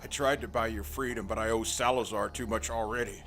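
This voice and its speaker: A man's voice: on the low side, fairly even intonation, fairly quick, lightly acted. A man voicing a corrupt police detective, a desperate, possessive lover who pleads intensely, over an audio drama's music. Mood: urgent pleading. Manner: pleading and intense, swinging from hushed to shouting.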